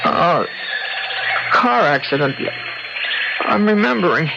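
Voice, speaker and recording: An actor playing an anguished, weary, frightened man who speaks haltingly in an old-time radio drama. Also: high voice, expressive, vocal fry, heavily acted. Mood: confused. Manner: halting, agonized. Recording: muffled old radio recording, very noisy.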